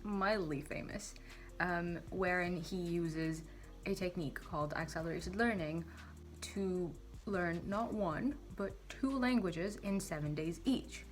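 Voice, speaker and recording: Chatty young woman vlogging, low voice. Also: slow, soft background music. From Saudi Arabia. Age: 20s. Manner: chatty, self-deprecating, with fillers. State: tired. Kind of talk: casual vlog talk to camera.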